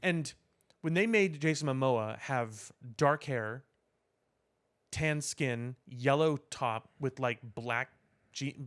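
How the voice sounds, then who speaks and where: A man with an average-pitched voice talks as a podcast co-host in a casual discussion. He is opinionated and lays out his reasoning at length, in a conversational way. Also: slow pace. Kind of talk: casual pop culture discussion.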